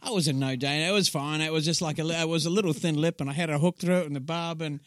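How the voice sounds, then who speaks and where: Kiwi guy in his fifties, animated storyteller, fairly high voice. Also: racing, no echo, clean studio sound. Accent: New Zealand. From New Zealand. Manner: animated storyteller who laughs through anecdotes. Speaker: guest, inspirational speaker. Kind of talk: casual interview chat.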